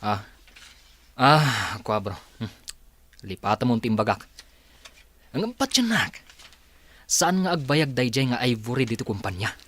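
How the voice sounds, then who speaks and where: An actor with an Ilocano accent playing a skeptical, grumbling office boss in a radio drama. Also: male voice, medium pitch, big pitch swings, measured pace, long pauses, a bit bright, slightly rough, heavily acted. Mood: hesitant. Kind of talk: Filipino radio drama acting.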